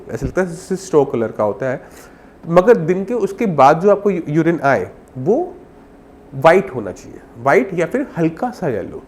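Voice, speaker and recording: A man's voice: a little high, a little mellow, slight background noise. A man with a sore throat and cold, explaining health advice to viewers as a doctor in a direct, instructive way. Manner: instructive, addresses viewer directly with simple examples.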